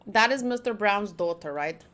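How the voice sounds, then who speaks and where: A woman's voice: medium pitch, animated, moderate pace, fairly bright tone. A woman streaming a game, reading its text aloud and chatting casually with playful asides.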